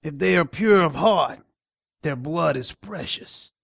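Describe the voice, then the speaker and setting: A man's voice: on the high side, expressive, slow, creaky, a bit bright, no room sound, clean studio sound, exaggerated voice. A man playing a crude, taunting nonhuman pawn shop dealer in an audio drama. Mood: scornful, sly. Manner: taunting, contemptuous.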